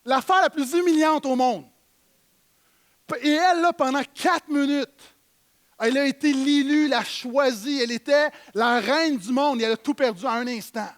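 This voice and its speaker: High-voiced Québécois pastor preaching. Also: male voice, normal speed. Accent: Québécois French. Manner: emphatic preaching, swinging from loud to low. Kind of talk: sermon. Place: church.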